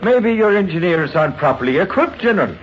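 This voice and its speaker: An actor playing a sly, self-assured, scruffy Irish water diviner with a folksy brogue in a 1950s radio drama. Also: male voice, high voice, big pitch swings, quick pace. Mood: sly, confident. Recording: muffled vintage radio recording, faint noise.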